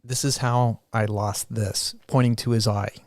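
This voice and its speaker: A man co-hosting a movie review podcast in casual chat, speaking haltingly with repeated restarts. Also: lowish voice, fairly even intonation, darkish tone, dry recording, clean studio sound. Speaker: podcast co-host.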